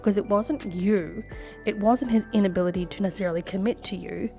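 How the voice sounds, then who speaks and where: A young woman with a croaky voice from a cough, reading online posts aloud and reacting with sassy asides. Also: medium pitch, steady tone, a little fast, dark tone, soft background music. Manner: sassy, laughs often, mutters asides. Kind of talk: casual reaction commentary.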